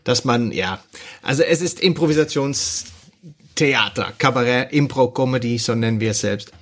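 A man's voice: mid-range voice, normal speed. A Dutch man chats as a podcast guest in a language that is not his first. He sounds cheerful and laughs often. He sometimes stops to search for the right word. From Netherlands. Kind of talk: casual chat.

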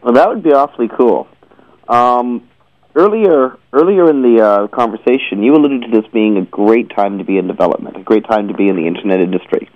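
A man hosting an interview, asking questions conversationally in a halting way, often repeating words. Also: medium pitch, no room sound. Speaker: interviewer, show host. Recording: dull, slightly lo-fi, dry sound.

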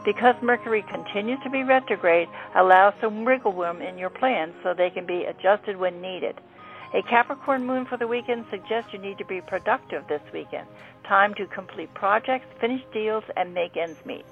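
Female radio astrologer, scripted read with vocal fry, music underneath. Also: medium pitch. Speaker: astrologer presenting a horoscope segment. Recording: phone-like narrow band, music behind.

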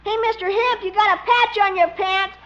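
A woman's voice: very high-pitched, fairly even intonation, normal speed, a bit bright. A performer voicing a skeptical, blunt, grumbling little boy in 1950s radio comedy style. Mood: scornful. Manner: blunt, scornful. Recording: muffled, noisy old radio recording.

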